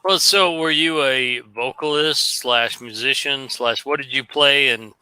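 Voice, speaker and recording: A man's voice: mid-range voice, measured pace, pushed and bright, clear, resonant tone, dry recording, rough recording. A man hosting a casual livestream chat, speaking earnestly and addressing his viewers. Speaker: streamer host.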